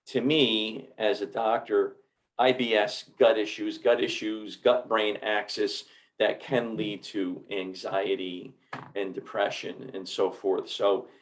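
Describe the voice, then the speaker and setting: Enthusiastic lower-voiced man host. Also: flat delivery, slow pace, rough recording. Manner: enthusiastic, repeats words. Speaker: podcast host, doctor. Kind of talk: casual interview talk.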